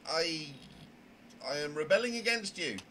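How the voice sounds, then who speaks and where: A man's voice: on the high side, animated, a little slow, sharp tone. Man acting out a flustered, indignant young trainee, noisy recording. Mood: indignant, worked up. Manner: earnest, flustered, stammering with indignation. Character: anxious, indignant, rule-bound young trainee mediator, Winter Harrison. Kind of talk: amateur voice acting of a visual novel.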